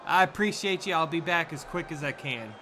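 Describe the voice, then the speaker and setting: A man's voice: on the high side, expressive, a little fast, pushed and bright, slight background noise. A man with a Southern US accent giving laid-back, rambling sumo commentary, laughing easily. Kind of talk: casual live commentary.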